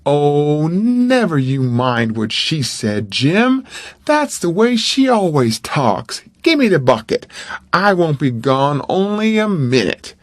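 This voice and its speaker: A man's voice: a little high, big pitch swings, takes it slowly, no room sound, clean studio sound. A man voicing a mischievous young boy who coaxes and wheedles in a storybook reading. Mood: coaxing. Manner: wheedling, persuasive.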